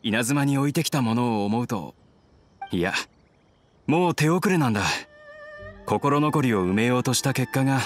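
A man playing a troubled, regretful, soft-spoken young fugitive in an anime game. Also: medium pitch, animated, moderate pace, long pauses, soft background music, close to an everyday voice.

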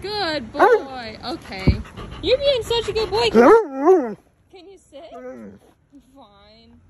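A woman's voice: extremely high pitch, very animated, slow pace, a crowd in the background. A woman filming and coaxing her dog in casual baby-talk, at home and out on a street. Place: home then outdoor street market. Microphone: handheld phone camera.

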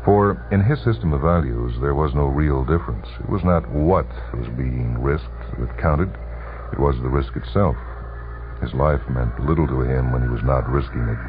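A man narrating a literary story for radio with dramatic, deliberate phrasing. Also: very deep voice, noisy background. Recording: tinny vintage radio broadcast, music behind. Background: music.

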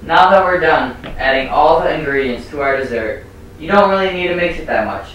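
A teenage boy hosting a mock cooking show in a home kitchen, reciting his lines in an earnest, deadpan way. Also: on the high side, brisk, a little hiss or hum, low-quality recording. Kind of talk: scripted mock cooking demonstration. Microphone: camera mic, a few steps away.

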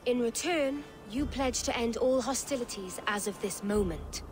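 A woman playing a dignified middle-aged noblewoman envoy in a video game, speaking in a formal, measured, stately way. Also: a little high, animated, lightly acted. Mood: solemn. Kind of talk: video game dialogue acting. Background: music.

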